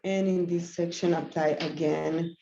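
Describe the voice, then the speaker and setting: Slow-talking woman on a video call. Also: on the low side, steady tone, dark tone, slight background noise. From Venezuela. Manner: easygoing, many ums and self-corrections. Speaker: web designer giving a live tutorial. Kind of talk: live screen-share tutorial. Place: small office room, indoors. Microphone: laptop webcam mic on a video call. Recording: roomy, dull lo-fi call audio.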